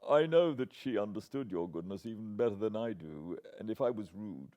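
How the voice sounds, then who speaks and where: A man playing a bereaved young English gentleman in an audiobook, courteous, earnest and full of feeling. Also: lowish voice, animated, normal speed, lightly acted. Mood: sincere, contrite. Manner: earnest, polite, emotional.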